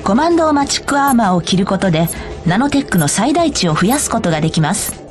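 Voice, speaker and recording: A woman's voice: mid-range voice, sing-song, fast, faint music behind, lightly acted. A woman voicing a polite, cheerful corporate guide, reading scripted tutorial narration for a game. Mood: polite, bright. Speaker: tutorial announcer.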